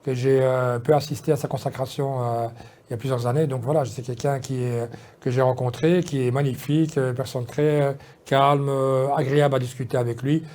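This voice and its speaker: A man's voice: mid-range voice, fairly even intonation, a little fast, a little mellow. A man, talking casually in an interview, rambling with many fillers and restarts. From Belgium. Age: 50s. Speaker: politician guest.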